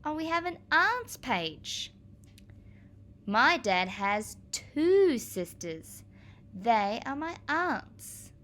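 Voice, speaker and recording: Slow-paced woman reading aloud to children. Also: high-pitched. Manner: clear, expressive, addressing young children. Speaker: primary school teacher reading aloud. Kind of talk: children's read-aloud with explanatory asides.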